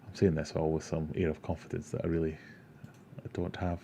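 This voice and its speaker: A man's voice: very deep voice, little pitch movement, brisk, mellow, slight background noise. A Scottish man from Glasgow hosting an aquarium livestream, casually answering chat questions and rambling with self-deprecating asides. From Glasgow, Scotland.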